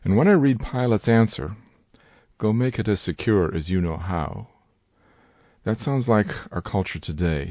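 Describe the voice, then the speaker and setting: Older male teacher, low-pitched, deliberate scripted delivery, dry close recording. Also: darkish tone, no room sound. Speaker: Bible teacher and sign language interpreter. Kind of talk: scripted instructional narration.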